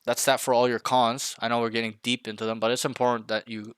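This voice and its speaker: A man's voice: medium pitch, level tone, fast, a bit bright, clean tone, no echo. A man coaching a younger player, blunt and emphatic, repeating key points to drive them home. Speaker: game coach. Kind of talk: coaching session, direct feedback.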